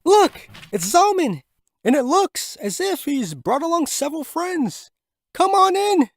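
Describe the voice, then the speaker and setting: A man's voice: extremely high pitch, very animated, a little slow, no room sound, studio quality, pitched above the performer's own voice, full character voice. A man voicing a cheerful, hospitable wife in an audio story. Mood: warm, welcoming.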